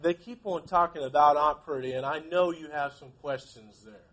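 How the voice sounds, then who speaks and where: A man's voice: on the high side, normal speed, a bit bright, poor audio. A man teaching a class, reading aloud and prompting pupils with questions. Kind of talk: interactive classroom read-aloud and discussion.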